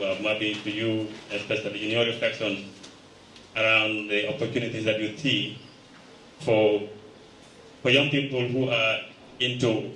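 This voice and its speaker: Low voiced guy asking a question at a panel, lots of restarts, roomy echo, a bit of noise. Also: sharp tone. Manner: hesitant, with many restarts. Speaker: audience member asking a question. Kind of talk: round table question from the floor. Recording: echoey room, picked up from a distance.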